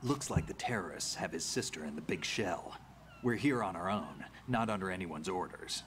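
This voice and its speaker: Brief: a terse, world-weary game soldier, deep and gruff. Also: male voice, animated, normal speed, a bit bright, slight background noise. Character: weary gravel-voiced veteran male soldier, terse.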